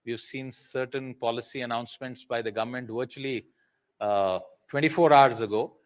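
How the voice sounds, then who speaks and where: An Indian-accented man moderates a live conference panel. He speaks haltingly at a middle pitch. He frames his remarks as questions to audience and guests. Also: steady tone.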